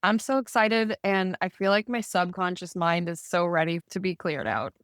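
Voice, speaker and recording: A woman's voice: fairly low pitch, normal speed, clean tone, dry recording, clean studio sound. A woman chatting casually and cheerfully about spiritual topics as a podcast co-host, often saying "like". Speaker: podcast co-host receiving healing.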